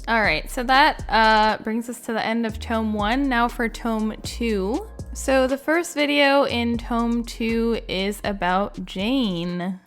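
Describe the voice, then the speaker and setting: A woman's voice: a little high, fairly bright tone. A woman giving casual, unscripted gaming commentary in a chatty, informal way, peppered with "like" and "you know.". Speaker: gaming video creator and commentator.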